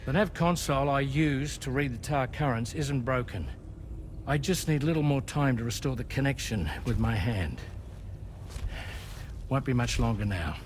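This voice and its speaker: An actor playing a weathered, philosophical ship captain in a video game, speaking in an unhurried, wistful way. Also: male voice, medium pitch, expressive, a little hiss or hum, natural voice. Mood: calm, wry. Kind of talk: scripted video game cutscene dialogue.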